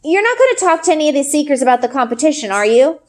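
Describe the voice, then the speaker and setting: Storybook girl, young, earnest and anxious, played by a woman. High register, very animated, insistent delivery. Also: racing. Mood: anxious pleading.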